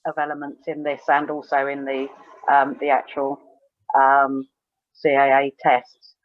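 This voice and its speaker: A woman speaking as an examiner on a call with thin sound, casually explaining with hesitant starts and measured advice. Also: a bass voice, completely flat delivery, no room sound. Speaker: oral examiner and air traffic controller. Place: online video call. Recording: thin phone-like call audio.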